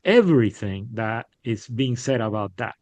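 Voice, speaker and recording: A middle-aged man from Puerto Rico, a podcast guest telling stories in a candid, animated way with frequent restarts. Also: medium pitch, moderate pace, no room sound. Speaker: podcast guest, business leader. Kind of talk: casual interview storytelling. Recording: dull, lo-fi sound.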